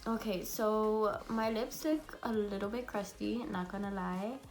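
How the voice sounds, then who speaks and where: A young woman with a raspy, congested voice from a cold, chatting bubbly through a makeup tutorial at home. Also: medium pitch, unhurried, music in the background. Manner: chatty and bubbly, many fillers. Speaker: beauty vlogger. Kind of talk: casual makeup tutorial chat.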